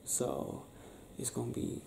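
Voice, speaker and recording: A young man doing an improvised ASMR boyfriend roleplay, talking softly and affectionately in a breathy, teasing, near-whispered way. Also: slow. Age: young adult. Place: small bedroom, lying on a bed indoors. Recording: roomy sound with faint background noise.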